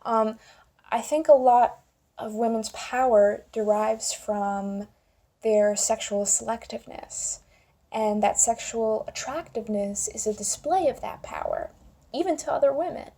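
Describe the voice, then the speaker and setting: A young woman with a fairly high voice that often drops into heavy creak. Her tone is mellow and dark-edged. She talks informally and wryly, as in an opinion vlog. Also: a little slow. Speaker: video commentator. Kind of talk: casual opinion vlog to camera.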